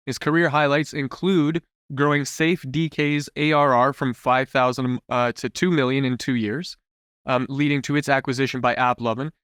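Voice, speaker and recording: A man's voice: mid-range voice, a bit bright, smooth, dry recording, clean studio sound. A man hosting an interview show, introducing his guest and asking questions in a conversational way.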